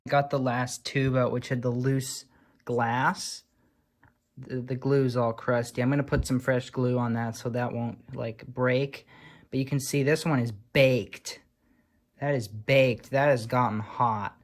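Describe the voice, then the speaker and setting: A man's voice: mid-range voice, steady tone, measured pace. A man giving casual, unscripted show-and-tell commentary in a dry, wry manner, like a hobbyist vlogger. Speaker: vlogger showing old radio repairs.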